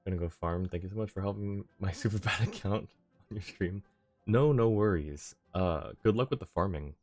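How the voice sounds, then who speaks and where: Low-voiced woman streaming a game. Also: normal speed, a little mellow. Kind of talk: casual game livestream commentary. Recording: game music behind the voice.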